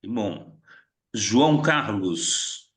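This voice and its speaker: A man's voice: fairly low pitch, lively intonation, extremely slow. Brief: male facilitator on a video call, friendly short welcomes. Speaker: retreat facilitator calling on participants. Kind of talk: casual online group meeting.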